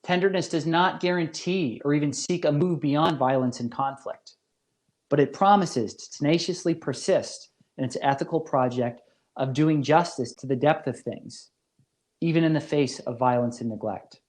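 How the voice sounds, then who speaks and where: A man giving a scripted academic lecture as a professor, with deliberate, formal phrasing and careful emphasis. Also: fairly high pitch, a little mellow. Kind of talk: scripted philosophy lecture narration.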